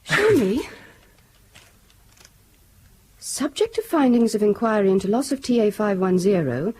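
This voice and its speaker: An actress playing a tender, candid mother in a radio drama, gentle and earnest. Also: medium pitch, sing-song, moderate pace, husky. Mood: excited, teasing.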